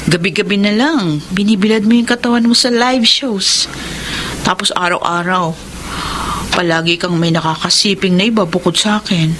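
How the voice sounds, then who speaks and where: A woman's voice: medium pitch, normal speed, background noise, natural voice. A woman playing an earnest young bar hostess in a Filipino radio drama, with a Filipino accent. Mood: concerned. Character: young adult woman, bar hostess, earnest lover telling her story. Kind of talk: Filipino radio drama acting and letter narration. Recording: music bed under the voice.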